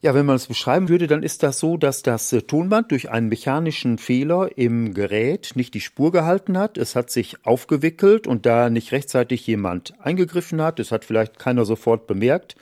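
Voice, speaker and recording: A man's voice: mid-range voice, lively intonation, moderate pace, few pauses, a little mellow. A man explaining exhibits as a museum director, in a guided-tour style of talk.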